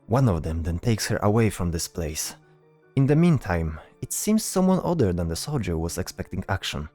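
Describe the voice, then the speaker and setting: Deep-voiced man narrating a fantasy story. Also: mellow.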